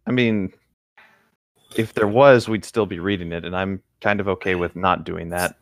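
A man's voice: low-pitched, steady tone, moderate pace, dry recording. A man co-hosting a podcast in casual chat, easygoing and a little hesitant in how he talks. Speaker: podcast co-host.

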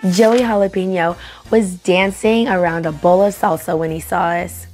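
A young adult woman hosting a children's read-aloud, narrating in an animated, clearly enunciated way. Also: fairly low pitch, normal speed, poor audio. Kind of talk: expressive storybook narration for children. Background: soft music.

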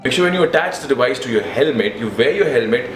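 A man's voice: medium pitch, animated, fast, soft background music. Echoey young Indian man reviewing gear. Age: 20s. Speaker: motovlogger reviewing gadgets. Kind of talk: casual product review to camera. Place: indoors in a living room at home. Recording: roomy echo with clear background noise.

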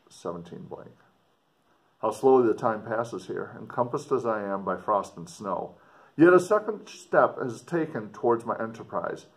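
Middle aged guy, teacher type reading out a book and explaining, measured w pauses, medium pitch.

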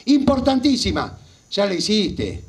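A man's voice: high-pitched, very animated, a little slow, creaky. A man in his fifties with a Rioplatense Argentine accent delivers an impassioned political speech, emphatic and repeating phrases for effect. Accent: Rioplatense Argentine Spanish. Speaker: local party politician. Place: small outdoor rally stage at night. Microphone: handheld microphone.

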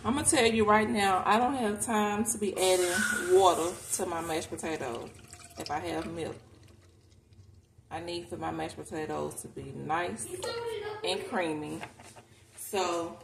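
A woman's voice: lowish voice, measured pace, gravelly, long pauses. An American woman chatting casually and candidly to viewers as she cooks at home, in an informal way. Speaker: home cooking vlogger. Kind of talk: casual chat while cooking. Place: home kitchen, indoors. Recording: roomy kitchen, noticeable background noise.

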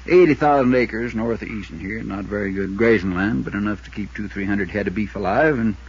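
A man's voice: on the low side, slight background noise, close to an everyday voice. Man acting as folksy insurance man, muffled old radio. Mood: wry, explanatory. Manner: folksy, drawn-out storytelling. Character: folksy, long-winded small-town Arizona insurance agent. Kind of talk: 1950s radio drama acting. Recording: muffled 1950s radio recording.

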